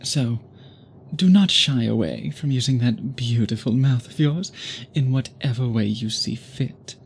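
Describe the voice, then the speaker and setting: A man performs an obsessed vampire gentleman in an intimate audio roleplay. His mid-pitched voice moves slowly, with formal, flowery phrasing and deliberate pauses, possessive yet held in check. Ambient noise sits behind him. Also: creaky. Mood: intense, reassuring. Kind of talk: intimate scripted ASMR roleplay, addressed to listener.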